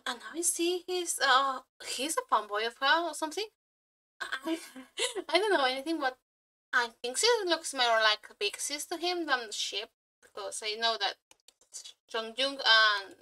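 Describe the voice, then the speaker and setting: Bright, high-voiced woman gushing over videos. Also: rough recording. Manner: gushing, exclamatory, swearing freely. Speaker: reaction streamer. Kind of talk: casual excited reaction commentary. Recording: music playing behind. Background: game trailer music.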